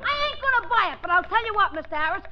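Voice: very high-pitched, very animated, very fast. An actor playing a brash, sarcastic, slangy delivery boy in a 1950s radio comedy. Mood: sarcastic, cocky. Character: wisecracking brash grocery delivery boy, sharp and skeptical. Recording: dull, noisy old radio recording.

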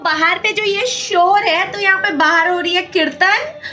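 A woman's voice: extremely high pitch, unhurried, over music. A woman in her twenties from India, chatting casually as a vlogger in an informal way, mixing in English. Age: 20s. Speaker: vlogger and gaming streamer. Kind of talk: casual vlog chat mixing Hindi and English. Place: small rooms at home. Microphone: phone held at arm's length.

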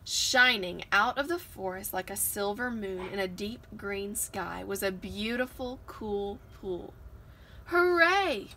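A woman giving an expressive read-aloud of a children's picture book, recorded outdoors on a porch. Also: mid-range voice, measured pace, few pauses. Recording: noisy outdoor home recording.

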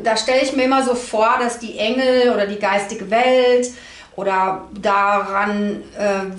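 Lady doing a card reading vlog, bit high pitched, searches for words, echoey room sound. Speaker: tarot card reader. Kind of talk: informal explanatory card reading to camera. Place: indoor room at a table. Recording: roomy, echoey indoor sound.

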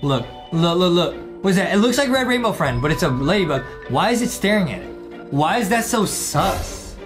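A man in his twenties doing excitable gameplay commentary, repeating phrases and addressing the viewers as he hosts. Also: high voice, moderate pace. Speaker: gaming video host. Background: music.

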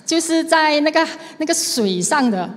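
A woman's voice: high voice, lively intonation, moderate pace. A woman in her thirties with a Malaysian Mandarin accent, interpreting a church sermon phrase by phrase into a handheld mic. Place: large church hall, indoors, on stage. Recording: echoey hall with long reverb.

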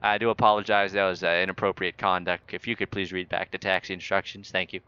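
Crisp, bright, low-voiced man. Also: flat delivery, quick pace, no echo, lo-fi sound. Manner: mock-official controller phrasing. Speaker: host playing air traffic controller. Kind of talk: joking air traffic control radio talk in a flight simulator game.

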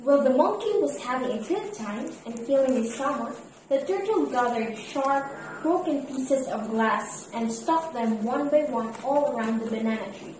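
A woman narrating a scripted children's fable in an expressive read-aloud style. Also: high voice. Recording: echoey room, distant mic, background noise.

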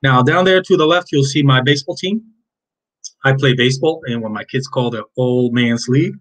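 A man's voice: medium pitch, moderate pace, a bit bright, rough recording. A man in his fifties from Cuba with a Cuban Spanish accent, a native Spanish speaker introducing himself as a Spanish teacher to his students in a chatty, friendly way. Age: 50s. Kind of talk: recorded class introduction over slides.

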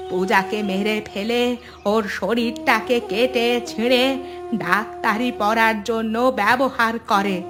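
A woman's voice: fairly high pitch, a bit bright. A woman voicing an outspoken, indignant village mother in a scripted cartoon, speaking emphatically. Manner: emphatic, slow, scolding.

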